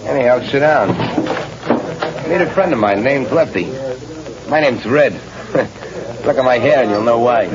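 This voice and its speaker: An actor in an old radio drama playing a sly, tough small-time gangster with a slangy, guarded way of talking. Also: male voice, medium pitch, lively intonation, unhurried, long pauses, natural voice. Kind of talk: 1930s radio gangster acting. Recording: muffled, noisy old radio recording with background sound.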